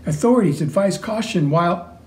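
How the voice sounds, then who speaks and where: A man voicing a measured, formal television news reporter covering a live event. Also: high voice, lively intonation, darkish tone, reverberant. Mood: urgent. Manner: formal, newsy.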